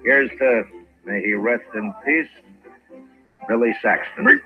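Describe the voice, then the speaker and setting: An American man playing a calm, wily Old West lawman with dry, unhurried understatement in 1950s radio Western style. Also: medium pitch, soft background music, close to an everyday voice. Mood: baiting. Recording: muffled, narrow-band old radio recording.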